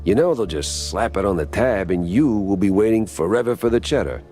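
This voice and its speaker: A man as a deep-voiced, world-weary noir mouse detective. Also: a little fast, soft background music, lightly acted. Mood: dry, wry. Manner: deadpan, hard-boiled. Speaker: detective narrator and lead character. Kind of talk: film noir game dialogue and narration.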